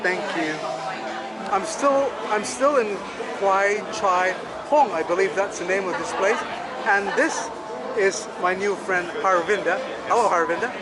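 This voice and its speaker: A man with a high voice runs cheerful street interviews, joking and teasing the people he stops. He is recording outdoors at night, and a dense, loud crowd roars behind him. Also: a little slow, rough recording. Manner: playful, teasing, upbeat. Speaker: vlog host interviewing passers-by.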